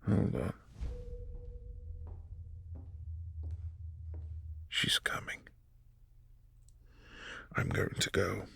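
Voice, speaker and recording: A man playing a brooding, possessive vampire prince in a boyfriend roleplay, speaking low and hushed and sometimes dropping to a whisper. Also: takes it slowly, dry recording. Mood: secretive.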